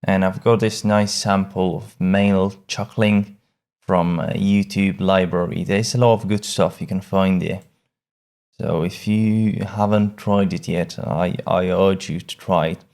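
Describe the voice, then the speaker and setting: A man with a low, gravelly voice walks through a software tutorial. His delivery is casual and halting, with words repeated as he goes. He takes his time over each step. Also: steady tone. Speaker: tutorial presenter.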